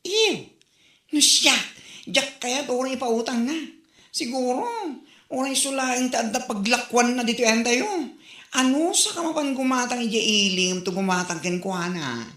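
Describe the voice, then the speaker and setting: Woman acting a catty high-voiced neighbour. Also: unhurried, fairly bright tone. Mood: mocking, gossiping. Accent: Ilocano, northern Philippines. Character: catty, mocking neighbour woman full of excuses. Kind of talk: comedy audio drama acting. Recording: echoey room.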